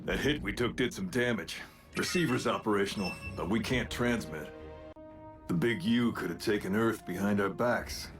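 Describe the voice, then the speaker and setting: A man voicing a brash robot warrior in an animated action series, laconic and wry with tough-guy swagger. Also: fairly low pitch, normal speed, close to an everyday voice. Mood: wry, cocky. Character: brash robot warrior, gruff cocky maverick soldier. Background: loud dramatic music and soundtrack.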